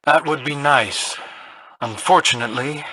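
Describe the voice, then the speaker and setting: Casting: an earnest male game scout, mid-pitched and bright, measured with gentle wry teasing. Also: husky, dry recording. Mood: wry, thoughtful. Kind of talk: video game voice acting.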